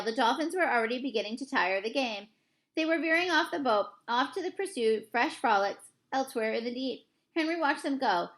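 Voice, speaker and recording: A woman with a fairly high, very bright voice narrates a storybook. She reads dramatically and deliberately, occasionally tripping on a word and going back over it. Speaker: teacher reading a novel aloud.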